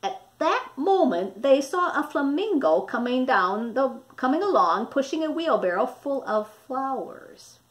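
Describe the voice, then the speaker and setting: A middle-aged woman narrating a children's storybook expressively, with dramatic, deliberate emphasis on words. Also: high-pitched, big pitch swings. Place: small indoor room with wall displays.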